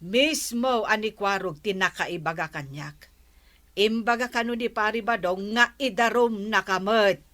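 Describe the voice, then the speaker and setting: Stern admonishing woman, played by an actress, Ilocano accent, crisp bright tone, medium pitch, radio drama. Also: unhurried, natural voice. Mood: reproving.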